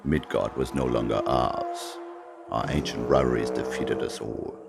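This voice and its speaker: A man voicing an ancient Norse warrior with a gravelly voice, gravely narrating an epic tale. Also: a bass voice, measured pace, over loud music, full character voice. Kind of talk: dramatic scripted narration.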